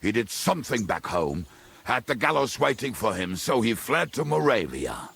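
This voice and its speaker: Gravelly roguish mercenary, swooping intonation. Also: male voice, mid-range voice, big pitch swings, normal speed, a bit bright, exaggerated voice. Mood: jovial, candid. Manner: blunt, colourful, wry. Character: roguish, foul-mouthed, jovial medieval mercenary storyteller. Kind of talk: dramatic video game dialogue.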